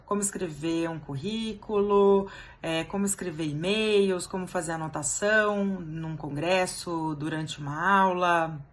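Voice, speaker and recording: A woman's voice: lowish voice, unhurried. A woman giving an upbeat, orderly testimonial as a student teaching assistant.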